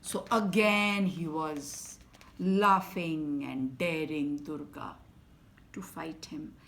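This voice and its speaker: A woman with an Indian English accent reading a story aloud to children, swinging from loud emphasis to soft whispers. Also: sits low, fairly even intonation, slow. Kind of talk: expressive bedtime story reading.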